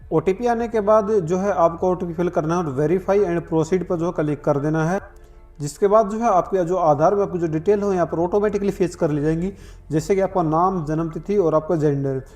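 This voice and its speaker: A man's voice: sits high, warm tone. A man narrating a step-by-step tutorial in an instructional way, with repeated filler phrases. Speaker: online form tutorial presenter.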